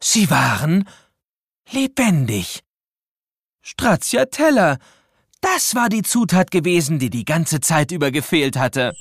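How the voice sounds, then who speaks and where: A man's voice: on the high side, sing-song, takes it slowly, long pauses, dry recording, heavily acted. A man narrating a children's audio drama as a scripted story, building suspense with dramatic storytelling.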